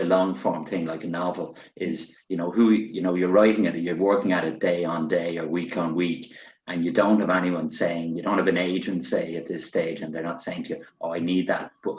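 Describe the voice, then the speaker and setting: A low-pitched man moderates a literary discussion in a roomy, slightly muffled recording. He feels his way through long, encouraging remarks, often restarting as he goes. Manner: rambling, hesitant, encouraging. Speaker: event host. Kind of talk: literary panel moderation, unscripted chat. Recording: roomy, dull lo-fi sound.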